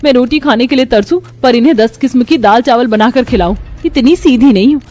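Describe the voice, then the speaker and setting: A woman's voice: a little high, brisk, warm tone, voice pushed up, natural voice. A woman voicing a young, scheming daughter-in-law in a cartoon story, complaining in an exasperated, whiny way. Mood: resentful. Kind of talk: Hindi cartoon story acting.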